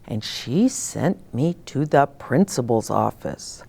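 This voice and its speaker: Older lady doing story time for little kids, takes it real slow, voice swings up and down a lot. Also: a bass voice, gravelly, breathy edge. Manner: simple, expressive, addressing children directly, with dramatic pauses. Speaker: children's story time host. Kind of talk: storytelling and advice to young children.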